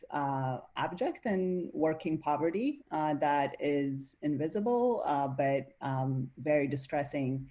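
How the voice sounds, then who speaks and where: A woman's voice: low-pitched, warm tone. A woman from Ethiopia, a guest in an interview, speaking thoughtfully with many fillers. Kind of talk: reflective interview talk.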